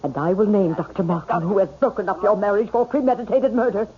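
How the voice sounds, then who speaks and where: A woman's voice: medium pitch, fast, natural voice. An actress playing a haughty, hostile older mother-in-law who speaks sharply and accusingly in a 1970s radio drama. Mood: threatening, accusing. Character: imperious, hostile older mother-in-law, protective of her son. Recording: noisy, dull old radio broadcast.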